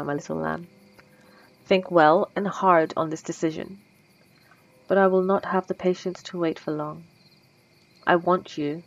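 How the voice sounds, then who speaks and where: A woman voicing a refined, possessive female vampire centuries old, speaking in a formal, old-fashioned way in a soft, intimate ASMR roleplay. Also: low voice, highs cut off. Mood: sultry, possessive.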